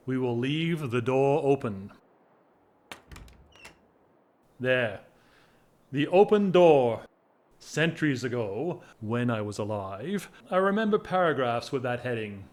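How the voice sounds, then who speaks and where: A man acting a whimsical, restless gentleman in an audio drama, with theatrical, wordy, teasing formality. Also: mid-range voice, expressive, unhurried, lightly acted. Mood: playful, whimsical. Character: whimsical, restless English gentleman, an aristocrat in love.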